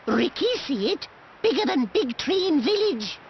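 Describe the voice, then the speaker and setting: Squeaky little plucky creature. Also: female voice, big pitch swings, normal speed, highs cut off, a little hiss or hum. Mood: eager, plaintive. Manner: childlike broken third-person speech. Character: small, cute, plucky furry creature. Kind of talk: video game cutscene acting.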